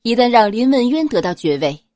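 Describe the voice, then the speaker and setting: A woman narrates a novel in an expressive, dramatic storytelling style. Her intonation is lively and varied, and the recording is close and dry. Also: a little high, brisk, no echo. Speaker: audiobook narrator.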